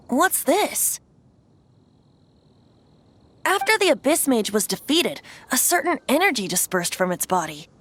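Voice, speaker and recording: A woman's voice: on the high side, a bit bright. A woman voicing a carefree, playful young male wind god in a video game, light and self-deprecating. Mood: puzzled. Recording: game audio with music behind.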